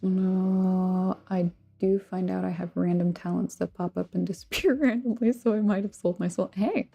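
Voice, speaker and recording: Low, creaky-voiced American woman, mellow. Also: steady tone, normal speed, no echo. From Texas, United States. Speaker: craft livestream host. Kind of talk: casual chat with viewers while crafting. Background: background music.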